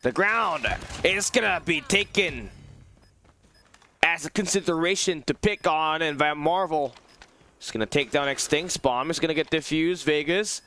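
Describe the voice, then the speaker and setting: A man giving live play-by-play commentary on an esports match, his voice tired and hoarse, excitable and rising to shouts at big plays. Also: fairly high pitch, unhurried, a little hiss or hum. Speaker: esports caster. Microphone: phone on a low battery.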